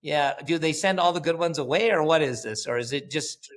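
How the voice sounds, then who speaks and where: A man's voice: a little high, a little fast. A man, a company founder guest on a podcast, talking bluntly and animatedly, swearing casually and acting out bits of conversation. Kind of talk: casual interview.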